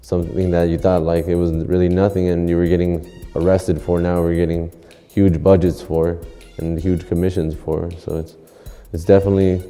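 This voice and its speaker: Low, dark-voiced Los man. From Los Angeles. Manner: laid-back street slang, rambling restarts. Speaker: graffiti artist. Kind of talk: interview monologue. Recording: long hall reverb, faint music.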